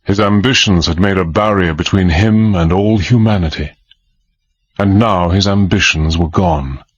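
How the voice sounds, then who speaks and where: An actor playing a reflective adventurer, giving measured first-person narration in a radio drama. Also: male voice, deep voice, husky, dry recording, dull audio. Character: reflective male adventurer recalling his past.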